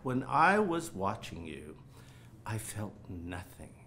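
A man's voice: fairly low pitch, sing-song, takes it slowly, vocal fry, fairly bright tone, exaggerated voice. An actor playing a pompous, tyrannical film director, theatrical and condescending as he barks orders in a comedy.

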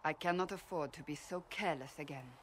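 A woman playing a stern, devout and blunt fantasy warrior in a video game, speaking gravely and deliberately. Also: low voice, a little hiss or hum, close to an everyday voice. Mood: candid. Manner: grave, forceful, deliberate phrasing. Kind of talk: video game cutscene acting.

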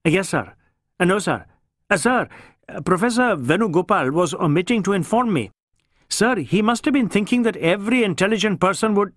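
Man acting a flustered, high-pitched Indian police inspector. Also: expressive, fairly bright tone, no echo, studio quality. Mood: nervous, apologetic. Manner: halting, stammering, repeatedly saying sir. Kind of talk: audiobook character voice.

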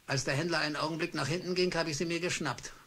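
A meek clerk turned bluffer. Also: male voice, fairly high pitch, steady tone, fast, sharp tone. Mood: excited. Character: meek, downtrodden male office clerk. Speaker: narrator and lead of a radio play. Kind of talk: radio drama with first-person narration.

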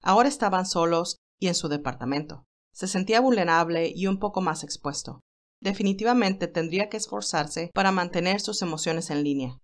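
A woman's voice: lowish voice, fairly quick. A woman reading a scripted romantic story as its narrator, in a dramatic storytelling style.

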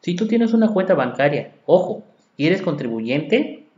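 A man's voice: a little high, sing-song, normal speed, darkish tone. A Mexican accountant lecturing on tax law, reading legal articles aloud and then explaining them with rhetorical questions to his listeners. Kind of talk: podcast lecture. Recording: echoey room, dull lo-fi sound.

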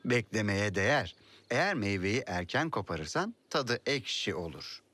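An animated, kindly cartoon farmer. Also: male voice, lowish voice, unhurried, dry recording, heavily acted. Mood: patient. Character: kindly, earnest male orchard farmer. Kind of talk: children's cartoon dub. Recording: music behind the dialogue.

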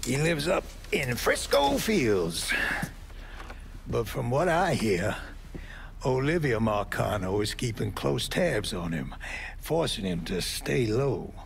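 A man's voice: medium pitch, very animated, slow pace, gravelly, slight background noise, full character voice. An actor playing a weary, blunt older American mob man with folksy, streetwise talk in a video game. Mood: explaining, matter-of-fact. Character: weary, foul-mouthed old mob man. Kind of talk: video game cutscene dialogue.

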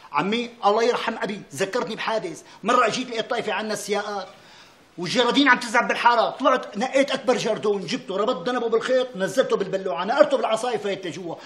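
Fast, blustering Syrian know-it-all son-in-law. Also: male voice, very high voice, bright, forceful tone, echoey room. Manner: blustering, argumentative, cocky. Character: boastful, stubborn, bungling son-in-law who insists he can fix anything. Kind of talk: TV comedy drama acting.

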